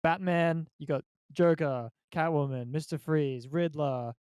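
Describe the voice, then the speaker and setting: A man's voice: a little high, a little slow, darkish tone, clear, resonant tone, dry recording, studio quality. A man chatting casually on a podcast, introducing topics to his friends. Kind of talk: casual chat.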